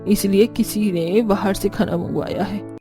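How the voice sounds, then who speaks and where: A woman's voice: mid-range voice, fairly even intonation, unhurried, music in the background, close to an everyday voice. A woman playing a weary, ageing village mother and mother-in-law in a cartoon family drama. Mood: weary, complaining.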